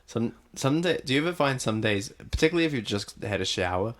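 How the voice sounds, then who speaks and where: A man's voice: medium pitch, expressive, quick pace. A man co-hosting a podcast, chatting casually and asking friendly, curious questions. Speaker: podcast co-host. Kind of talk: casual chat.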